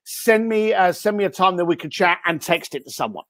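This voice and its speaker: Male podcast guest; blunt and direct, fairly high voice, dry close recording. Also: animated, brisk, fairly bright tone, no echo. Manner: blunt, punchy advice. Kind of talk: interview chat.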